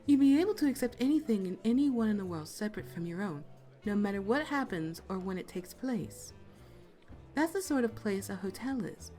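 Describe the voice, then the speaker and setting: A woman's voice: mid-range voice, expressive, brisk, warm tone, soft background music, lightly acted. A woman voicing a worldly, teasing adult witch with a playful, languid manner. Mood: mysterious. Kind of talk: fan audio drama dub.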